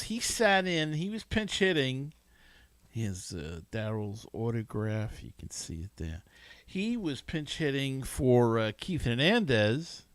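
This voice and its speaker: Slow, halting man hosting sports talk. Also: medium pitch, no echo. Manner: halting, rambling, enthusiastic. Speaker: podcast host. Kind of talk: casual solo sports talk.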